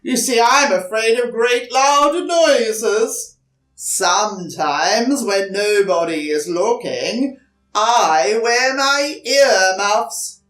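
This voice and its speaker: A woman voicing a big roaring lion from a children's storybook, loud and drawn-out. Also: a little high, lively intonation, voice pushed up, exaggerated voice. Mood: loud, confiding. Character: big roaring male lion, secretly afraid of noise. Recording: roomy echo, distant mic.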